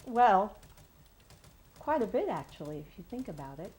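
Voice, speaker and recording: A woman's voice: medium pitch, big pitch swings, normal speed, darkish tone, lightly acted. A woman playing an opinionated, suspicious gossip, speculating in a wry, insinuating way. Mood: gossipy, suspicious.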